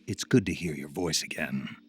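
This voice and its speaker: A very low, gravelly American man's voice for a loyal special forces soldier in a video game. He speaks tersely and stoically, with music behind him. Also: normal speed. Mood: gruff, casual. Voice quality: gravelly, gruff. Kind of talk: video game cutscene acting.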